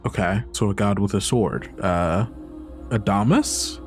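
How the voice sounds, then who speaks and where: A man's voice: fairly low pitch, a little slow, soft background music, lightly acted. A man playing an uncertain, defensive young girl in a tabletop game, hesitant and questioning in casual words. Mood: puzzled.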